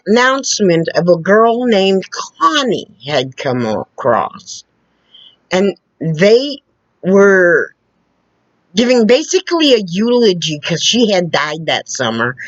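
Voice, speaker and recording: A woman with a loud, carrying voice tells personal stories slowly, her pitch rising and falling a lot as she goes. She pauses, restarts and adds asides. The sound is dry and a bit dull, like a lo-fi recording. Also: no echo. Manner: slow, halting, with restarts and asides. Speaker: storyteller. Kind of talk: casual personal storytelling.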